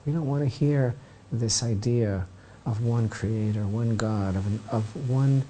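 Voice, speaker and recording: Mellow-voiced rabbi telling a legend. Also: male voice, medium pitch, a little slow, slight background noise. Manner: dramatic storytelling, asides to listeners. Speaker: rabbi, teacher. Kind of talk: Torah lesson retelling a legend.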